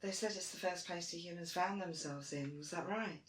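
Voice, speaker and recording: A woman playing a proud, stubborn archaeologist in an audio roleplay, with emphatic, expressive delivery. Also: normal speed, close to an everyday voice. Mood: suspicious, determined. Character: Dune, proud, determined female archaeologist, stubborn and warm to friends. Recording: roomy, faint rumbling noise.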